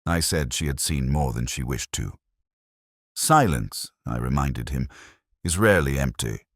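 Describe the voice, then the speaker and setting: An older police detective played by a man narrates his notebook in a very low, deep voice. His delivery is solemn and measured, with a widely moving intonation. It is brooding, literary mystery-drama storytelling, recorded close and dry. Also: animated, fairly bright tone, no room sound, heavily acted. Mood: solemn, wistful. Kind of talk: scripted first-person mystery narration.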